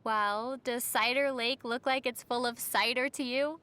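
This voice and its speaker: A woman voicing a young female traveler in a video game, calm and playfully teasing, with wry delivery. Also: a little high, unhurried, clean tone. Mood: matter-of-fact.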